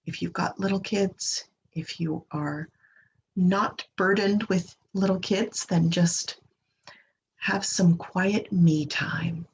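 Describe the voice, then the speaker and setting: A woman leading a guided meditation in a gentle, unhurried manner, leaving long pauses and trailing off breathily at the ends of phrases. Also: fairly low pitch, steady tone. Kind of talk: guided meditation, slow soothing instruction. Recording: dull lo-fi recording with clear background noise.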